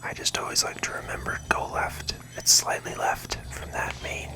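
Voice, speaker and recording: Someone giving gentle, unhurried whispered ASMR commentary while playing a video game, talking through each move close to the microphone. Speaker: video game playthrough host.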